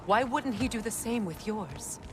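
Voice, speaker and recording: A woman's voice: on the high side, expressive, unhurried. A woman voicing a strong-willed middle-aged goddess in a video game, solemn, firm and wounded. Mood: urgent warning. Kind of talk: dramatic video game acting. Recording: clear background ambient noise.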